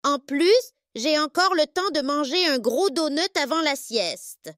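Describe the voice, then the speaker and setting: Squeaky, very high cartoon piglet. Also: lively intonation, unhurried, fairly bright tone, dry recording, clean studio sound. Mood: carefree, pleased. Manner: sing-song, boastful, childlike. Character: small, cheeky, carefree young male cartoon piglet. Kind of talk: children's cartoon storytelling.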